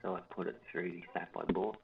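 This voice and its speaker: A man's voice: low voice, completely flat delivery, brisk, creaky, a crowd in the background. A man hosting a livestream, rambling and joking sarcastically as he comments over a video. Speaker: livestream host. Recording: tinny phone-like audio.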